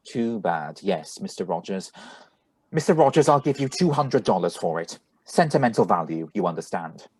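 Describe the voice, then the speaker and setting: A man acts out a shady little stranger in a radio thriller. He is formally polite yet pressing and menacing, in a low, husky voice recorded close and dry. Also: big pitch swings, normal speed, darkish tone, no room sound, full character voice. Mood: oily, polite. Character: shady insistent little male stranger, pleading and threatening. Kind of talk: radio play reading.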